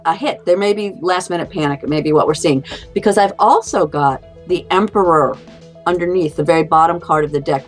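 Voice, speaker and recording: Lower-voiced woman giving a tarot reading. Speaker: tarot card reader. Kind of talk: casual unscripted tarot reading. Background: faint music.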